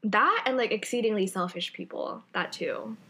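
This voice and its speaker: A young woman co-hosting a podcast in casual chat, with a loud voice that projects and an energetic way of talking. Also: medium pitch, moderate pace. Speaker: podcast co-host.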